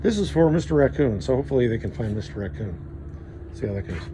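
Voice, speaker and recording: A man's voice: mid-range voice, lively intonation, fast. An older man casually narrating a walkthrough of an aircraft parts warehouse, chatty and enthusiastic, filming on a handheld phone. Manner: chatty, enthusiastic, pointing things out with fillers. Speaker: vlog host. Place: large indoor parts warehouse. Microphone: handheld phone camera. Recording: noisy warehouse ambience.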